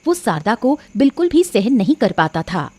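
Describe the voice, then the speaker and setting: Animated woman story narrator. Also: fairly high pitch, moderate pace. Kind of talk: scripted narration of a moral cartoon story.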